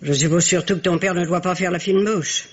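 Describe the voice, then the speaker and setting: A woman playing a stern but fond aristocratic old grandmother in a radio drama, speaking in a formal, admonishing, imperious way with a husky voice. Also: lowish voice, a little fast. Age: older. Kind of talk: French radio drama acting. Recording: dull, muffled old radio recording.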